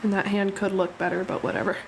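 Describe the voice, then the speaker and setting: A woman's voice: mid-range voice, vocal fry, slight background noise, rough recording. A young woman hosting a live art stream, chatting casually, thanking viewers and laughing easily, a little sniffly from a cold. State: slightly sniffly. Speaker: art livestream host. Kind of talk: casual live drawing chat.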